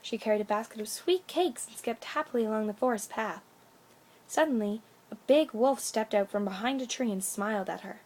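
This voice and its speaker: A woman's voice: a little high, brisk, darkish tone. A woman storyteller reading a children's bedtime story aloud with expression.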